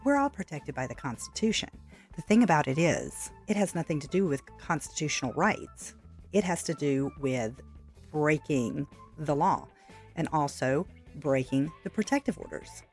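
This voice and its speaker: Low-pitched woman, measured commentary. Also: expressive, a little mellow, faint music behind. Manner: measured, matter-of-fact, corrective. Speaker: reaction commentator. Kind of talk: opinion commentary over played clips.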